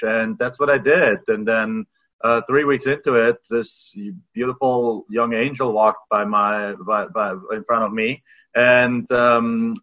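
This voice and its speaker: A man in his forties from Germany with a German accent, telling his story as a podcast guest. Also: on the low side. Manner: reflective, many fillers and restarts. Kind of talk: casual interview storytelling. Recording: phone-like remote audio.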